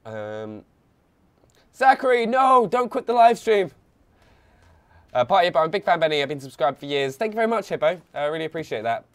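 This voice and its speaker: A young man commentating a live game stream on a headset, chatting, reading viewer messages aloud and getting excited in bursts. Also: on the high side, a little fast, pauses often.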